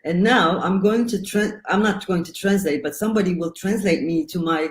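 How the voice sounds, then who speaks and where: Reflective Turkish-born woman writer, roomy hall. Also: on the low side. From Turkey. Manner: reflective, halting, laughs between phrases. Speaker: panel speaker, writer. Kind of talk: panel discussion.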